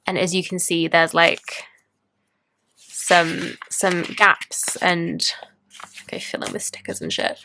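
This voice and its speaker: A woman's voice: fairly low pitch, gravelly, fairly bright tone, slight background noise. A woman, chatting casually as she shows her planners and journals in a vlog, bubbly and rambling. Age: 20s. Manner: bubbly, rambling, self-interrupting. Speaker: planner and tarot vlogger. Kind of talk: casual chatty show-and-tell.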